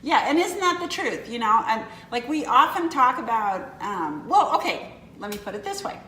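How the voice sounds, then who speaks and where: A woman's voice: on the high side, very animated, moderate pace, bright, forceful tone, reverberant, slight background noise, poor audio. A woman in her fifties co-hosting a casual chat, telling rambling stories that keep correcting themselves. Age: 50s. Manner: rambling, self-correcting storyteller. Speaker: co-host.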